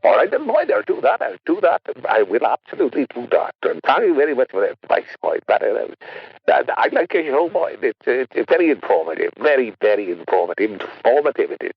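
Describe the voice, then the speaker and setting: A man playing a rambling South Welsh caller with a husky voice who keeps calling people boy and repeats himself. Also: medium pitch, very animated, fairly quick, no echo, muffled recording, exaggerated voice. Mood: grateful. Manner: rambling, repetitive, calls everyone boy. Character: rambling Welsh male caller haunted by a sausage nightmare.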